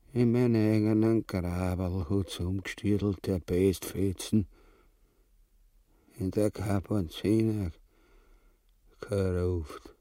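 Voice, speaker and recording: An actor with an Austrian accent playing a pompous, gluttonous, cowardly tyrant in bombastic dialect for a grotesque comic radio drama. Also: male voice, sits low, dark tone, heavily acted. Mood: sleepy, dreamy. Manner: bombastic, drawling, mock-elevated dialect speech. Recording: long hall-like reverb.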